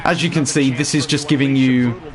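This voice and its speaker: A man with a slightly hoarse voice chatting casually to viewers while walking them through a game showcase. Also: mid-range voice, fairly even intonation, normal speed, a crowd in the background, poor audio. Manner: chatty, addresses viewers directly. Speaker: gaming YouTuber. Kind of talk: casual game tutorial voice-over.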